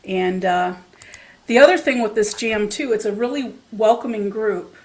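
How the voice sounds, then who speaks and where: A woman's voice: mid-range voice, normal speed. A woman who plays the dulcimer, chatting casually about a local jam, with lots of fillers and a self-deprecating humor. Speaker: dulcimer player. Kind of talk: casual chat. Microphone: home setup, playing in her room.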